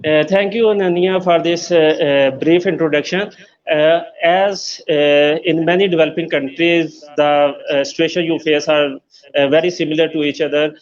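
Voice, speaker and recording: A man hosting an interview, speaking formally and haltingly with many fillers. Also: fairly high pitch, fairly even intonation, lo-fi sound. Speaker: host.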